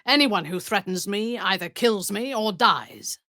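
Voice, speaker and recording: Female dark elf warlord, video game, imperious, ruthless, formal, deliberate pacing, very close dry sound. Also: medium pitch, lively intonation, dry recording. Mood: cold, imperious. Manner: imperious, measured, formal, menacing.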